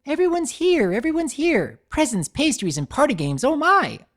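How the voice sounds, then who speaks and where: Young female party pony, very high pitch, loud, bubbly, gleeful, childlike, excitable, cartoon story. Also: normal speed. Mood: overexcited.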